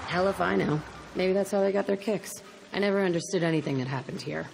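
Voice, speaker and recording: An actress playing an embittered, tough young ex-prisoner in a video game, blunt, terse and profane. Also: female voice, fairly low pitch, fast, vocal fry, background noise. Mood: bitter, defensive. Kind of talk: video game dialogue acting.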